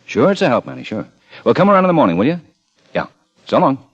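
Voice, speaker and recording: An actor playing a dogged, weary American police lieutenant in 1950s radio crime drama, with clipped, terse questioning. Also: male voice, medium pitch, very animated, fast. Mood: businesslike. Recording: dull old radio recording, music behind.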